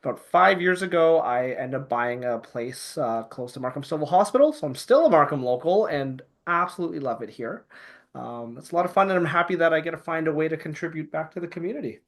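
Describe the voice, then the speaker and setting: A man's voice: a little high, lively intonation, fairly quick, fairly bright tone. A man with a Canadian accent, a podcast guest explaining things in casual, talkative style with plenty of filler phrases like "sort of". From Canada, Toronto area, born in Hong Kong. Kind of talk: casual chat.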